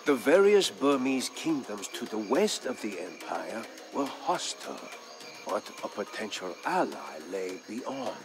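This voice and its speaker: A thoughtful old campaigner chronicling wars for a strategy game. His delivery is grave and measured, with theatrical rises and falls in pitch, over background music. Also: sing-song, vocal fry, full character voice. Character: thoughtful veteran male war chronicler recounting past campaigns. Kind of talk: dramatic scripted storytelling narration for a game. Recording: music behind the voice.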